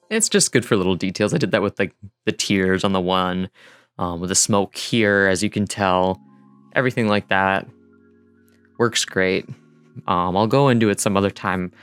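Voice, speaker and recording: Male vlogger, smooth clean voice, casual rambling how-to narration, music underneath. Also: lowish voice, fairly bright tone. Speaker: digital artist narrating a dev vlog. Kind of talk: casual rambling tutorial voice-over.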